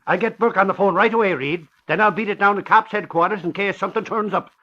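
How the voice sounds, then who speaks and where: High-pitched blustery Irish reporter. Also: male voice, quick pace, sharp tone. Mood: excited. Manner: folksy, blustering. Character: excitable, blustery Irish male newspaper reporter. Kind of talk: 1940s radio acting. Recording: muffled old radio recording.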